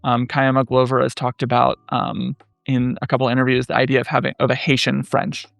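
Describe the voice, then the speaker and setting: A man in his thirties speaking as a scholar guest in a podcast interview, measured and thoughtful, pausing often with fillers. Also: medium pitch, completely flat delivery, gravelly, dry recording. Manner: thoughtful, many "um" pauses, measured. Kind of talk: academic interview conversation.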